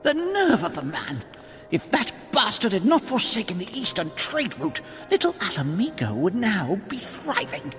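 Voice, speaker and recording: An actress playing a proud, courtly noble in a fantasy game, speaking in an emphatic, florid and formal way. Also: female voice, medium pitch, wildly swooping pitch, moderate pace, a bit bright. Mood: scornful. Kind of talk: dramatic fantasy game dialogue. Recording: long hall reverb with faint music.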